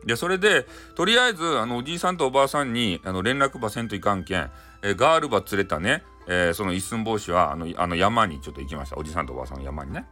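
Male storyteller, Hakata accent, playful and very animated, forceful tone, soft music bed. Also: on the low side, rapid-fire, hardly any pauses. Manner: playful, adds many sound effects. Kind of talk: comic improvised folktale retelling in dialect.

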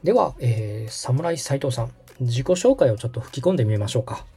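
A man's voice: medium pitch, expressive, brisk, dark tone, slightly rough, faint music behind. A man hosting a podcast as a polite instructor, explaining things step by step. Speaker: podcast host and radio director, giving instructions. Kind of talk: step-by-step app tutorial over background music.

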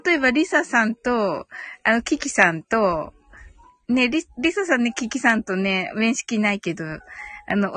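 A woman's voice: on the high side, fairly bright tone. A woman hosting a casual live audio chat, reading listener comments aloud and responding with polite, agreeable backchannels. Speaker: live audio stream host. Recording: background music behind voice. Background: music.

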